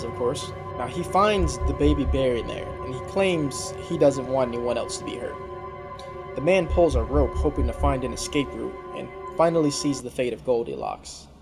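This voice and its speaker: A man's voice: medium pitch, expressive, normal speed. A young man doing casual recap commentary on a story, slangy and given to incredulous asides. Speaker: video commentator. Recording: loud music bed under voice. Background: loud background music.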